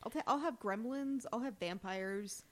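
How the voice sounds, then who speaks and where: A woman's voice: on the high side, moderate pace. A woman co-hosting a podcast, chatting informally and playfully as she explains her observations about a TV episode. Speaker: podcast co-host. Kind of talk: casual TV episode discussion, chatty.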